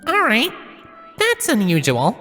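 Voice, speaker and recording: A woman's voice: fairly high pitch, wildly swooping pitch, slow pace, a bit bright, faint music behind, full character voice. A woman voicing an anxious, guarded young female pony in dramatized story dialogue. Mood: puzzled. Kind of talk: audio drama character dialogue.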